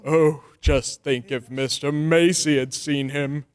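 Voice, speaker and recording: Man acting a high-voiced gushing store publicist. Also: steady tone, slow, clean tone. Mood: gushing, excited. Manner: gushing, exclamatory. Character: effusive, deferential male department store public relations man. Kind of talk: old-time radio drama acting. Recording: reverberant hall.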